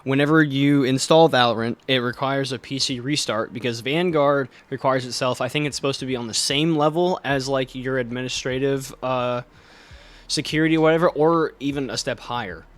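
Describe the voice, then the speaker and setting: A man's voice: medium pitch, normal speed. A young man co-hosting a podcast in casual chat, easygoing and explanatory, laughing often. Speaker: podcast co-host. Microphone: desk microphone with level meter.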